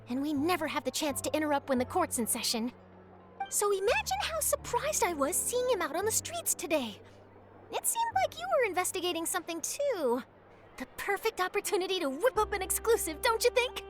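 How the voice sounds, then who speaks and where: A woman voicing a curious young female journalist in a game, chatty and persuasive but principled. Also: extremely high pitch. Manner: chatty, coaxing, quick to explain herself. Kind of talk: game voice acting. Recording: game dialogue over music.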